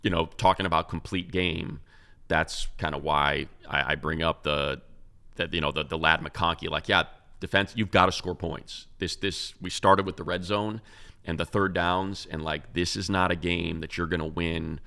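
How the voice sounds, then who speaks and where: A man with a very deep voice full of gravelly fry talks football as a show's co-host. His tone has a bright, forceful edge. He rambles through animated analysis, often restarting his sentences. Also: moderate pace. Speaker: radio play-by-play announcer, co-host. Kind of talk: casual sports talk.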